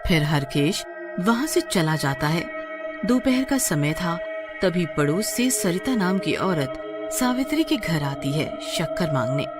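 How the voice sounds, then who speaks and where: A woman's voice: lowish voice, sing-song, a little slow. A woman narrating a scripted horror story as a dramatic storyteller. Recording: music playing behind the voice.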